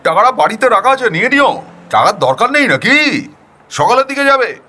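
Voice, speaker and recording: A man playing a brash, familiar young man who calls out briskly and hurriedly in an audio story. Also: very high voice, very animated, pushed and bright, pitched above the performer's own voice, exaggerated voice. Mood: brisk, insistent. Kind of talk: audio story character acting.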